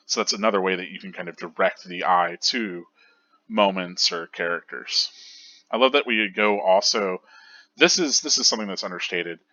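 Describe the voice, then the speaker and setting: Deep-voiced man with a bright, forceful tone. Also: moderate pace, no echo, dull audio. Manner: rambling, enthusiastic, many fillers and restarts. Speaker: host of a manga reread show. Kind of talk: casual analytical commentary.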